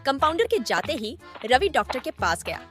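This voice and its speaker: A woman's voice: high voice, big pitch swings, moderate pace, a bit bright, soft background music. A woman reading a scripted horror story as its narrator.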